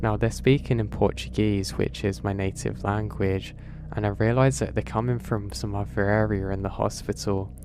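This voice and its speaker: A man narrating first-person horror stories in a steady, even storytelling read. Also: on the low side, a little hiss or hum. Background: background music.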